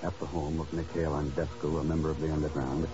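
A man's voice: rumbling low, level tone, fast talker, over music. A man reading scripted 1950s radio announcements and ad copy in a formal, emphatic, polished way. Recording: hissy, muffled vintage radio recording.

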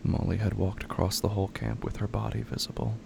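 Male horror narrator, low and intensely gravelly, deliberate and ominous. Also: level tone, noisy background.

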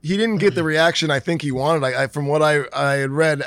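A man's voice: a little high, fairly bright tone, no room sound, clean studio sound. A man co-hosting a casual talk show who is losing his voice, hesitantly reading out a news article. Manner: hesitant, reads an article slowly. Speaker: podcast co-host.

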